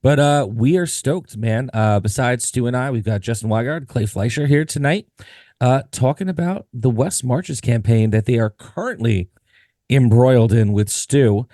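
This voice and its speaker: A hoarse man hosts a podcast with his voice half gone. He is mid-pitched and casual. The recording is dry and close. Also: moderate pace, dark tone, no room sound. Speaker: podcast host. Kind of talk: podcast hosting.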